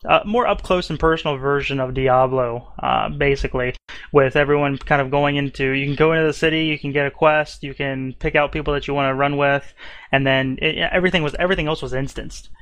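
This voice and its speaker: Brief: mid-pitched male host, dry close sound, relaxed questioning manner. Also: brisk, no echo. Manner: easygoing, asks questions, hedges with fillers. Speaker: podcast host. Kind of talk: casual podcast chat.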